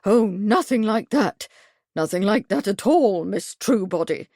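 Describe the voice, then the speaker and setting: A woman voicing a bustling, shrill, strident committee lady who talks in a brisk, impatient way. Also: expressive, darkish tone, no echo, clean studio sound. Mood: fussy.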